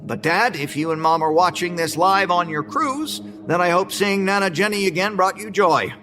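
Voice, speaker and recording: An actor playing a slick, showy male presenter in an audio drama, grandiose, with dramatic pauses. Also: high voice, music in the background, close to an everyday voice. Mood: breezy showman. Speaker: event presenter. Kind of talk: theatrical audio drama, keynote showman.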